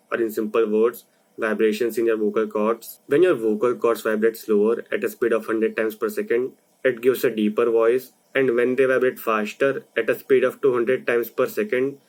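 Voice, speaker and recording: A young man from India who runs a video channel, talking through a voice lesson in a slow, deliberate explainer style. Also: medium pitch, a little mellow, poor audio. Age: young adult. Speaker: video creator. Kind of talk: explainer narration.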